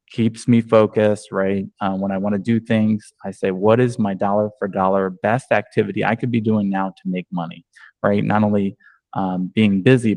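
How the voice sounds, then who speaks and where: A man's voice: on the low side, a little mellow, dry recording. A man from New York, a real estate agent, giving a conversational online training over a video call with many ums and restarts.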